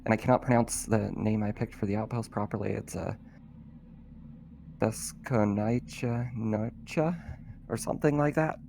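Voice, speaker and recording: Low, gravelly male game master. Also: steady tone, moderate pace, dark tone, a little hiss or hum. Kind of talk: narrating an online tabletop roleplaying game.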